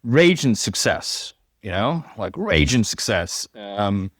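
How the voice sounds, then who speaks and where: Podcast guest, male, 30s, mixed Pacific accent, slightly low pitch, dry mic, loose self-deprecating delivery. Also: big pitch swings, slow, no echo. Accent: mixed Fijian, New Zealand, Australian and American. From Fiji, raised in Hawaii. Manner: rambling, self-deprecating, joking asides. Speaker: podcast guest, software engineer. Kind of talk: casual podcast chat.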